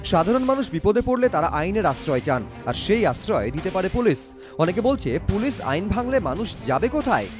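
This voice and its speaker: A man's voice: high voice, big pitch swings, a little fast. A man reading scripted news narration in a dramatic, emphatic way. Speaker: news reporter. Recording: music bed under voice. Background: background music.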